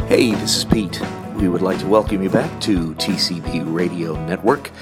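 A man's voice: deep voice, moderate pace, loud music behind the voice. A man hosting a podcast and introducing the show in a scripted way. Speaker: podcast host.

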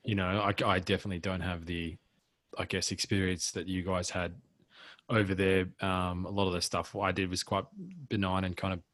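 A man's voice: low voice, little pitch movement, fairly quick, a bit bright, dry recording. An Australian man hosting a casual podcast, talking in a blunt, matey way with plenty of swearing. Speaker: podcast host, military veteran.

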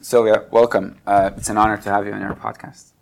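A man hosts a podcast with a very low voice. His tone is gravelly and he talks in a relaxed way, often pausing on "uh". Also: slight background noise, low-quality recording. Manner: relaxed, with frequent "uh" fillers. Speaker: podcast host. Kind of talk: interview podcast. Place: museum in Tallinn.

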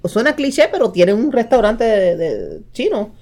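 A woman's voice: a little high, wildly swooping pitch, normal speed, warm tone. A Puerto Rican woman co-hosting a podcast, telling stories casually and mixing in English phrases. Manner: storytelling, mixes in English phrases. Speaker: podcast co-host. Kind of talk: casual chat reviewing TV shows.

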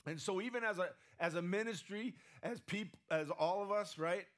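A man's voice: high-pitched, normal speed, fairly bright tone. A man preaching a conversational sermon, often asking the room "right?", his voice strained and sometimes cracking. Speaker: pastor.

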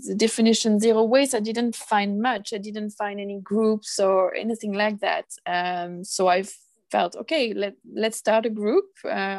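A woman from France, a podcast guest who speaks thoughtfully and pauses to find her words. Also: mid-range voice, dry recording. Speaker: guest, zero waste association founder. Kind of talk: podcast interview.

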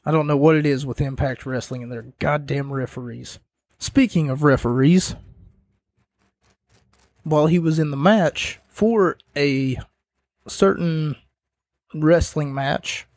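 A man with a fairly high voice talks slowly through a casual review, wandering into joking asides. His voice is starting to give out a little, and the sound is dry and close. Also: a little mellow, no room sound. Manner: rambling, jokey asides. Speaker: wrestling show reviewer, host. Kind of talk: casual spoken review and recap.

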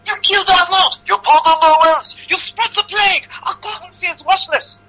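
A woman's voice: fairly low pitch, a little fast, bright, forceful tone, breathy edge, full character voice, low-quality recording. A woman voicing a suspicious, hostile male merchant in a storybook, demanding and contemptuous. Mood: shouting rage.

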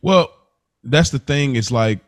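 A man hosting a casual podcast review of a TV show, talking in a slangy, joking, animated way. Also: mid-range voice, slow pace. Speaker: podcast host. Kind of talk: casual chat reviewing a TV series.

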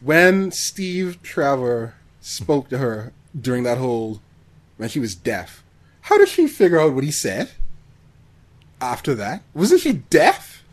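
A man chatting casually as a podcast co-host, expressive and given to acting out reactions. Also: mid-range voice, slow pace. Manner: expressive, acts out reactions and quotes. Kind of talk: casual film discussion.

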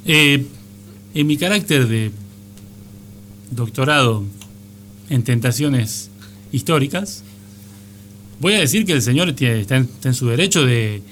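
An actor playing a sly, pompous serpent lawyer in a comedy radio play, speaking hesitant, formal legalese. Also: male voice, medium pitch, expressive, measured pace, pauses often, a bit bright, a little hiss or hum.

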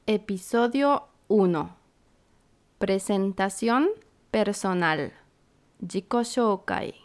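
Slow, clear woman. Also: mid-range voice. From Santiago, Chile. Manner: clear, deliberate, carefully spaced phrasing. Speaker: podcast co-host, Spanish teacher. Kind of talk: slow, scripted beginner language lesson dialogue.

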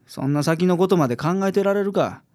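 A man with a Kansai accent playing a gruff, pragmatic private detective in a radio drama. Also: high-pitched, lively intonation, a little fast, a little mellow. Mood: blunt, gruff. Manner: blunt, worldly-wise. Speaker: voice actor in a community radio drama.

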